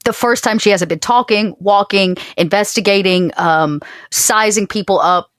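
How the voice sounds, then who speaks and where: A woman's voice: medium pitch, moderate pace, a bit bright, no echo, clean studio sound. A woman, talking casually and improvising narration as a player in a tabletop game. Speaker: player in actual play podcast.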